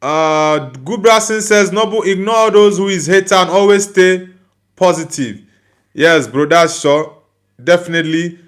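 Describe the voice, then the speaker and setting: A young man from Nigeria hosts a casual livestream, reading viewers' comments aloud and answering them one by one. His voice sits a little high for a man. He talks in a relaxed, friendly way and often stops to ask for likes and subscribes. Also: unhurried. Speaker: livestream host reading chat. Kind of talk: casual live chat.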